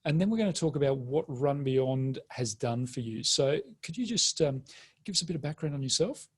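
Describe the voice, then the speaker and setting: A man's voice: mid-range voice, expressive, a little fast, slightly rough. A man hosting a conversational interview and asking questions.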